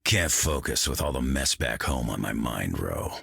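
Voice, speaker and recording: An actor playing a gruff, weary old mutant brawler in a video game, with a gravelly growl and grumbling, folksy slang. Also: male voice, very deep voice, expressive, normal speed, sharp tone, no room sound, studio quality, exaggerated voice. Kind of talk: video game character lines.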